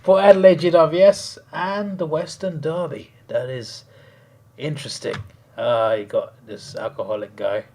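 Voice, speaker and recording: A man chatting casually on a live stream, hoarse, rambling and laughing often. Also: on the high side, fairly even intonation, unhurried, darkish tone.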